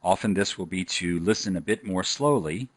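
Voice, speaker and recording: A man with a fairly low, husky voice delivers scripted instructional narration. The sound is dry and close, as in a software tutorial. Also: normal speed, no echo.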